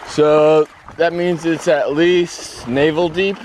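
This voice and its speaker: A hoarse man vlogging casually while hiking, rambling and halting, with frequent laughs. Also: fairly high pitch, steady tone, slight background noise. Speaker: hiker vlogger. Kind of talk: casual trail vlog. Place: outdoors on a rural trail.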